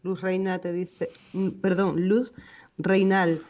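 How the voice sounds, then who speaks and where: A woman's voice: on the low side, level tone, takes it slowly, darkish tone, muffled recording. A woman co-hosting a casual livestream chat, reading out viewer comments with small hesitations.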